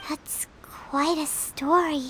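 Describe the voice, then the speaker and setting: A woman's voice: high-pitched, fairly even intonation, takes it slowly, a bit bright, a little hiss or hum. A woman voicing a small, chatty, childlike female companion creature in a video game, with a chirpy, casual manner.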